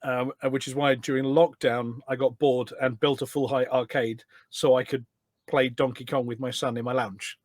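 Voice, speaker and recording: A man's voice: medium pitch, little pitch movement, normal speed, no room sound. Chatty middle-aged British man, dry close sound. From United Kingdom. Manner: chatty, rambling, self-correcting. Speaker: podcast guest. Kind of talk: casual chat, reminiscing.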